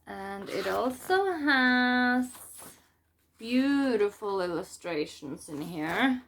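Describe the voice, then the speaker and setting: A woman's voice: medium pitch, expressive, drawn-out words, a bit bright, slight background noise. A woman from Norway with a Norwegian accent, a native Norwegian speaker, chatting casually as she presents books as a vlogger. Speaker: book vlogger presenting a haul. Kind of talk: casual chat to camera.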